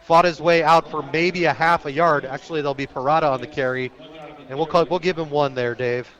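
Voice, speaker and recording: Higher-voiced man calling football play-by-play. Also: a little fast, low-quality recording. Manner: clipped descriptive phrases, excited on big plays. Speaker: play-by-play radio sportscaster. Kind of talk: live high school football play-by-play. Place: outdoor stadium, live radio broadcast.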